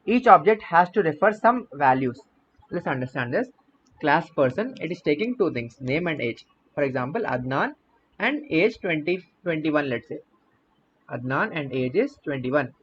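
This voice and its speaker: A young man walks students through programming ideas in a slightly high voice, restating each point. The audio is a little dull, with faint noise behind him. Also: sing-song, normal speed, fairly bright tone. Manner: explanatory, repeats and rephrases himself. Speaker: coding bootcamp instructor. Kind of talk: online coding lecture.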